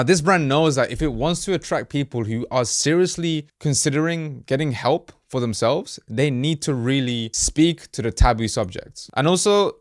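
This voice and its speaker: A man's voice: medium pitch, dry recording, studio quality. Spanish-native man, chatty explainer. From Colombia. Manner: conversational, slips into quick mock-voiced asides. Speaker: host, ad creative marketer. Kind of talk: casual explainer talk to camera.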